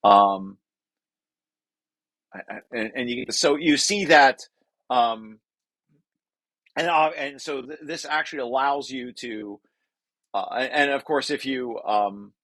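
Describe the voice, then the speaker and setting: A man's voice: mid-range voice, takes it slowly, pauses often, fairly bright tone, no echo. A man reading through a technical paper and explaining it informally, thinking aloud and correcting himself as he goes. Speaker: researcher presenting own paper.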